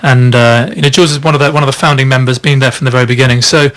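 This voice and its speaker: A man who sings in a vocal group talks fast and brightly as a radio guest. His voice is a little husky today, and he promotes his group's new music with eager energy. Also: mid-range voice, steady tone. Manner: upbeat, promotional. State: slightly husky voice. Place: radio studio.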